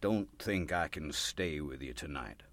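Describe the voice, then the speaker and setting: A man's voice: very deep voice, expressive, a little slow, fairly bright tone, heavily acted. An actor playing a desperate, bitter, insistent man in a radio play. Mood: bitter, resigned. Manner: insistent, sardonic, emotionally volatile. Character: desperate, bitter man pleading and manipulating his pastor for money.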